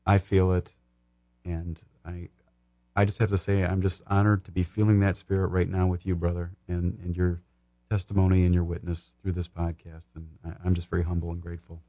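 A man speaking as a podcast guest, making earnest devotional remarks in a humble, halting way with restarts. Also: deep voice, little pitch movement, mellow, no room sound.

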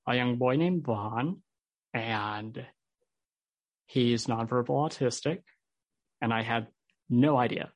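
A man's voice: medium pitch, no echo, poor audio. A man with an American accent, a podcast guest telling his personal story in an interview. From Holland, United States.

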